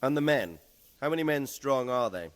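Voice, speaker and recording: An actor playing a keen, tactical military captain in a medieval video game, businesslike and probing. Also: male voice, mid-range voice, lively intonation, moderate pace, in a large hall, lightly acted. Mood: keen, calculating. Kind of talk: dramatic video game cutscene acting.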